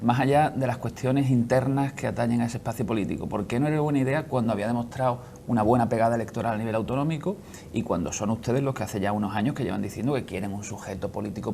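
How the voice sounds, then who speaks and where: A man in his thirties, a panel analyst on a TV show, pressing a guest with rapid questions. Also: medium pitch, gravelly, dark tone, slight background noise. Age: 30s. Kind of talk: pointed interview questioning.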